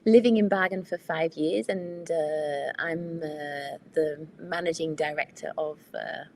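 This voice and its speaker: A woman from the UK, managing director of a venue, speaking on a video call from a former factory hall, steady and informative with many fillers. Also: low voice, measured pace. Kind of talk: guest explaining on a webinar.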